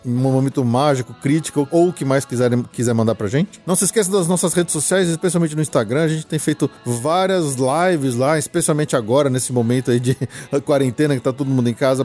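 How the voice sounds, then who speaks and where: A man with a Brazilian accent hosts a casual podcast. His voice is dark and relaxed, at a middling pitch. Light music plays behind him as he chats and reads out announcements. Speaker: podcast host.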